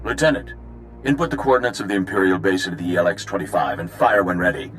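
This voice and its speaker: A man playing a grave, vengeful Republic commander in a video game, formal and deliberate. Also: deep voice, fairly bright tone, faint music behind. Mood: grave, menacing. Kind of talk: video game cutscene acting.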